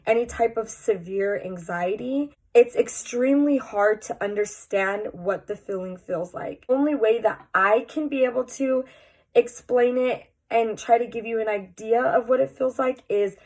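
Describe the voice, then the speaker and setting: A woman's voice: a little high, measured pace, a little mellow. A young woman with a stuffy, slightly nasal voice from a cold, speaking candidly and earnestly to her viewers in a personal vlog. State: congested, sounds nasal from a cold. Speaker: vlogger.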